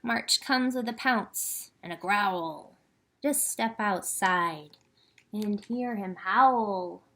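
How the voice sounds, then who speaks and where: A woman hosting a children's library storytime, speaking in simple, expressive phrases and addressing young listeners directly as she reads and chats. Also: medium pitch, drawn-out words, slight background noise.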